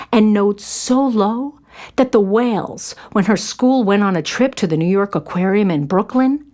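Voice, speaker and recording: A woman's voice: a little high, darkish tone. A woman narrating a scripted story for children.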